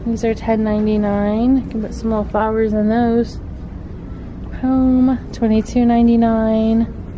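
A woman's voice: fairly high pitch, little pitch movement, measured pace, dull audio, noisy background. A middle-aged woman filming a shop-with-me vlog in a home decor store, chatting about prices and cute items on the shelves while she holds the camera. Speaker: vlogger. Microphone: handheld camera. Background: background music.

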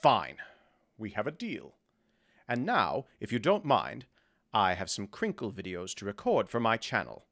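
A man's voice: lowish voice, huge pitch swings, a little slow, gravelly, a bit bright, full character voice. An actor playing a petulant fairy king bickering like a husband in a modern audio drama, dramatic and easily flustered. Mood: huffy.

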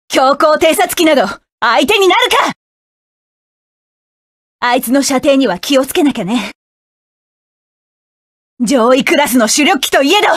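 A resolute young woman mecha pilot in a game, snapping out commanding battle orders in a high, bright voice. Her lines are recorded dry and close, with no room sound at all. She stays fierce and set on revenge against her foes. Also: no echo. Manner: commanding, clipped battle calls. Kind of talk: video game combat voice lines.

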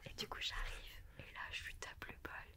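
A woman in her twenties chatting casually in a breathy ASMR whisper, explaining things informally close to a microphone. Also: normal speed. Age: 20s. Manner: explanatory, informal with streamer slang. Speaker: ASMR creator, guest. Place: small indoor studio with sofa. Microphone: shared desktop microphone up close.

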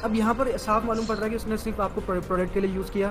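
Male call interviewer, high pitch, even delivery, casual with English phrases, music underneath. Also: rapid-fire. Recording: recorded call with music behind.

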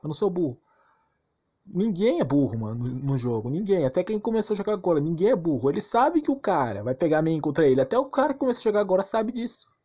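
A man's voice: high voice, a little mellow, muffled recording. Brazilian man ranting about a game. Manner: argumentative, insistent, repetitive, slangy. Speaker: gaming YouTuber. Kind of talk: informal game rant commentary.

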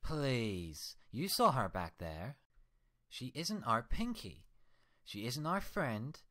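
A man's voice: mid-range voice, very animated, slow, bright, forceful tone, voice pushed up, exaggerated voice. A man voicing the sarcastic, mocking mirror reflection of a female unicorn. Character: sneering mocking mirror twin of a unicorn mare.

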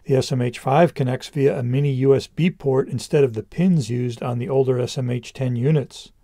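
Mellow man narrating a product review. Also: mid-range voice, fairly even intonation, brisk, no room sound, clean studio sound.

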